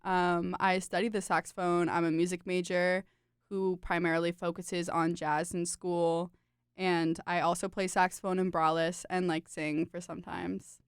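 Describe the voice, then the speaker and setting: A woman's voice: on the low side, flat delivery, normal speed, clean tone, no room sound, clean studio sound. A young woman from Connecticut, a saxophonist in a student band, chatting casually and agreeing a lot. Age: young adult. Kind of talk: casual interview chat.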